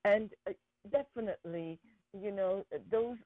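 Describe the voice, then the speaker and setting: A woman whose first language is Persian, a guest talking earnestly about her charity work, with many pauses and fillers. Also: medium pitch, dry recording. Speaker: guest, school founder. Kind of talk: interview conversation. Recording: tinny phone line.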